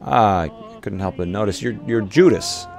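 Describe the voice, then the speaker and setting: A man with a lowish voice full of vocal fry improvises as a player in a tabletop game. He is casual and halting, feeling his way through sentences over faint background music. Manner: hesitant, halting. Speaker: roleplay player.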